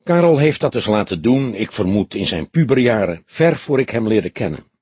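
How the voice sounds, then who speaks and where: A man voicing a stern newly widowed woman, husky. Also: on the low side, big pitch swings, moderate pace, a little mellow, dry recording. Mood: guarded.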